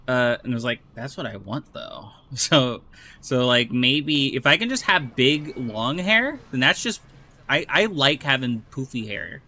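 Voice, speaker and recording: Bright-voiced rambling gaming streamer man. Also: medium pitch, animated, measured pace. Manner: rambling, joking, with many restarts. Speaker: streamer playing a video game with friends. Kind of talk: casual gaming chat.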